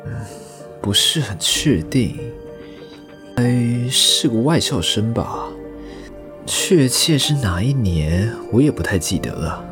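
A man plays a courteous male university professor in an audio drama, thoughtful and measured. His has a lively, varied melody at a middling pitch, with music clearly audible underneath. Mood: pondering. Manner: measured, scholarly, pondering.

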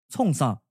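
A man's voice: very high voice, gravelly, pitched above the performer's own voice, heavily acted. A man playing a solemn, learned Taoist strategist, reciting formally with a Taiwanese accent in a puppet-theatre radio drama.

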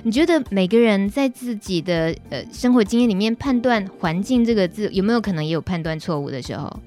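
A woman's voice: medium pitch, lively intonation, a little fast, a little mellow. Taiwanese woman hosting, music behind. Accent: Taiwanese Mandarin. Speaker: radio show host. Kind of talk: casual interview chat.

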